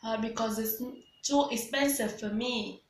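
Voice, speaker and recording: A woman's voice: on the high side, unhurried, roomy sound, a little hiss or hum. A woman in her twenties with a Vietnamese accent, practising scripted English dialogue as a student, hesitant with many fillers and restarts. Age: 20s.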